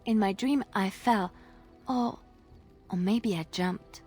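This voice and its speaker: A woman's voice: medium pitch, slow pace, a little mellow, husky, soft background music. An actress playing a devout, dreamy young woman bard in a video game, earnest and wistful. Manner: earnest, wistful, drops to a whisper.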